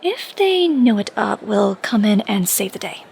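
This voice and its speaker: A woman's voice: medium pitch, big pitch swings, measured pace, gravelly, darkish tone, a little hiss or hum, exaggerated voice. An actress playing a confiding young female superhero in a conversational audio drama. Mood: candid.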